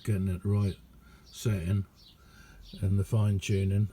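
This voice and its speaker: Middle-aged man, soft dark tone, casual halting hobbyist demo, faint background noise. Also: sits low, flat delivery. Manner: unhurried, halting, colloquial. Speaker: radio hobbyist showing a homemade receiver. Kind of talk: casual hands-on demonstration vlog. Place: indoor hobby radio room.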